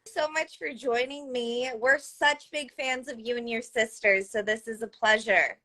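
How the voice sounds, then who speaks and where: A woman's voice: a little high, steady tone, unhurried, pushed and bright. Upbeat young woman interviewer. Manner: upbeat and encouraging. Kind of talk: live interview hosting.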